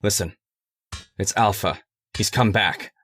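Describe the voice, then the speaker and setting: Man playing low-voiced, stubborn scientist's assistant. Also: slow pace, fairly bright tone, a little hiss or hum. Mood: assured, soothing. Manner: earnest, articulate, controlling. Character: calm, stubborn, persuasive scientist's assistant devoted to the experiment. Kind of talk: old-time radio horror acting.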